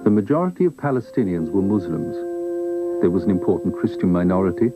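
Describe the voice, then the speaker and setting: A man reading scripted documentary narration in a measured, formal manner. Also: deep voice, sing-song, loud music behind the voice.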